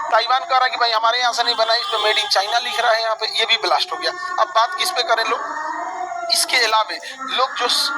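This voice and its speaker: A man from India with a Bihari accent, giving an animated, colloquial classroom lecture as a teacher, emphatic and fond of repetition and rhetorical questions. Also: very high-pitched, poor audio.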